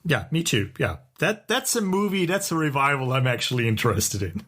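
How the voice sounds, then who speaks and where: A middle-aged man co-hosting a podcast, chatting casually and enthusiastically with a halting delivery. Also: mid-range voice, big pitch swings, fairly bright tone. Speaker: podcast co-host. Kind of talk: casual chat about TV shows.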